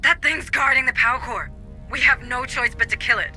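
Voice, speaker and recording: A man's voice: extremely high pitch, brisk, bright, forceful tone, faint music behind, full character voice. An actor playing an urgent, decisive male squad officer in a video game cutscene.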